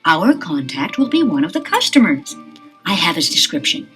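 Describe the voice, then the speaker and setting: This is a cold, scheming woman spy in an old audio drama. Her speech is formal and stiff, and her low voice rises and falls in a lively way. Faint music plays behind her. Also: normal speed. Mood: cold, commanding. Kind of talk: 1970s record-album radio drama.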